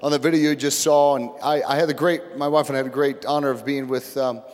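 A pastor with a mid-range voice gives an earnest, halting introduction full of restarts. The long reverb of a big church hall trails his words. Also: mid-range voice, steady tone, faint music behind. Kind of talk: introducing a guest speaker. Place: large church sanctuary.

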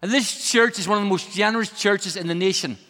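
A man's voice: sits high, fast talker, pushed and bright, soft background music. A middle-aged Irish man, a guest preacher and missionary, joking with the congregation and building to a rousing, emphatic sermon. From Ireland. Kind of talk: humorous sermon. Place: large church sanctuary. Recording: long reverb of a large hall.

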